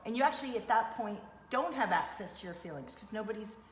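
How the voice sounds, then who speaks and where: A woman's voice: mid-range voice, lively intonation, fast talker. A woman working as an energy coach, talking casually with a client in an affirming, explanatory way. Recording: echoey room with background noise.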